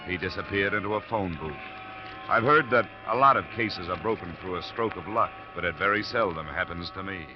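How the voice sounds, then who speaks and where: An American actor playing a tough, shrewd insurance investigator in a 1950s radio drama, with clipped, hard-boiled delivery. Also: male voice, low-pitched, lightly acted. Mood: wry narrating. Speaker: lead actor and narrator. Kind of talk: 1950s radio detective acting. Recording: muffled old radio recording, music behind.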